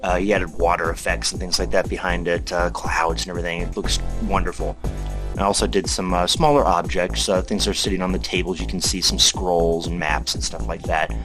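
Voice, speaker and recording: A man's voice: low-pitched, flat delivery, a little fast. A man casually recalling his work as an artist in an interview. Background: background music.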